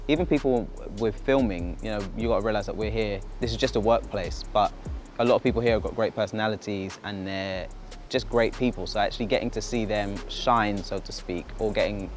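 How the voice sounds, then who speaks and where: Man, lowish voice, thoughtful rambling reflections, faint music under. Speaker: company staff. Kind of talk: casual reflective talk to camera.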